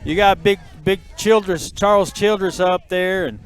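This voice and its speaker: Male play-by-play caller, high pitched, folksy and chatty, outdoor stadium. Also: steady tone, normal speed, poor audio. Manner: folksy, joking, addresses the folks. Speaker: play-by-play announcer. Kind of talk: high school football commentary. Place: outdoors at a football game.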